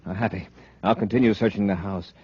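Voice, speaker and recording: A man's voice: lowish voice, steady tone, brisk, lightly acted. An actor playing a brave, decisive male space patrol commander in 1950s radio drama style, authoritative, earnest and urgent. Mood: steady, determined. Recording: muffled old radio recording, faint noise.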